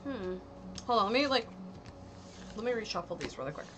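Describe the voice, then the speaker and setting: A woman's voice: medium pitch, very animated, a little fast, background noise. A woman in her thirties giving a casual livestream tarot reading, rambling with lots of ums and likes. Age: 30s. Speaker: tarot reader and streamer.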